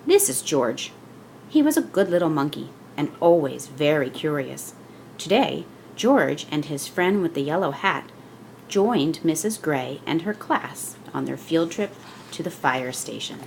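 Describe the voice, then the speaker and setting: A woman reading a children's picture book aloud as scripted narration, with expressive storytelling delivery. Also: sits low, unhurried, vocal fry, a little hiss or hum, rough recording.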